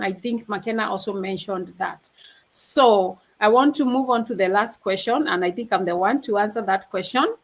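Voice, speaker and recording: An earnest young woman from Kenya talks casually on a video call, speaking at a medium pitch. She keeps restarting her sentences. She laughs easily as she urges people to act. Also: rough recording. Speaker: panel speaker on a livestream. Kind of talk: casual motivational talk.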